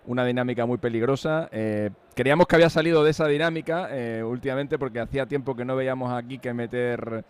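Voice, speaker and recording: Middle-aged Spanish man, very clear voice. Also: medium pitch, quick pace, few pauses. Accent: Spanish (Spain). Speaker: radio football commentator. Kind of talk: casual live sports talk, match preview.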